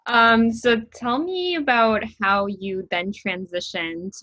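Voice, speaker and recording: A woman's voice: a little high, takes it slowly, bright, forceful tone, lo-fi sound. A woman hosting a podcast, reading an enthusiastic intro and then asking questions. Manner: upbeat, enthusiastic. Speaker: podcast host. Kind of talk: scripted intro then interview.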